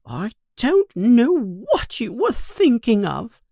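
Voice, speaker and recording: Man voicing a shrill scolding mother. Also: wildly swooping pitch, slow, fairly bright tone, slightly rough, dry recording, studio quality, exaggerated voice. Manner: shrill scolding, sudden sweetness to guests. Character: stern short plump mother, scolding, motherly.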